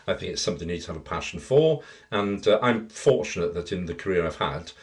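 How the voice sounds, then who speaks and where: A man's voice: low voice, animated, quick pace. A middle-aged man with a rich voice, reminiscing as a guest in an interview. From Wales. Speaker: interview guest, theatre practitioner and teacher.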